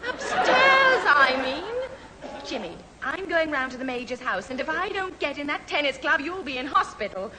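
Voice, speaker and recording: A woman's voice: high-pitched, very animated, moderate pace, crowd noise. A woman playing a haughty, exasperated, bossy young older sister in a 1970s radio sitcom. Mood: furious. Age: young adult. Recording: hissy old radio recording, studio audience.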